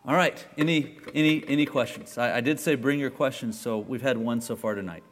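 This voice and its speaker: A man's voice: mid-range voice, brisk, in a large hall. A man leading an informal church study, talking conversationally, often repeating words and checking in with "okay?". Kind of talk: informal sermon with questions.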